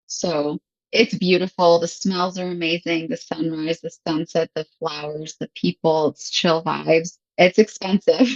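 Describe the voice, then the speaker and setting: A woman's voice: deep voice, steady tone, unhurried, no room sound, dull audio. An American woman from Michigan in her thirties, chatting casually and laughing often as an interview guest. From Michigan, USA. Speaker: guest, English teacher. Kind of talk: casual conversation.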